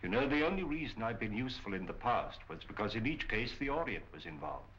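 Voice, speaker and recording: An actor playing a courteous, shrewd gentleman detective in a measured, articulate 1940s film style. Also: medium pitch, sing-song. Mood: grave, helpful. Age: 50s. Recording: muffled, hissy 1940 film soundtrack.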